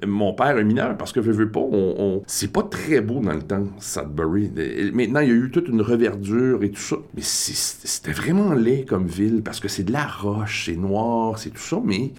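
Joking Franco-Ontarian man with a low voice. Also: animated, normal speed, few pauses, darkish tone. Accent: Franco-Ontarian French. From Northern Ontario, Canada. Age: 40s. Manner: self-deprecating, joking, rambling storyteller. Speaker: guest. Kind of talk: casual conversational interview.

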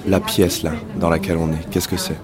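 A man working as a radio reporter, asking quick, curious questions in street interviews. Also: low-pitched, flat delivery, over a noisy crowd. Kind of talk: vox pop interviewing.

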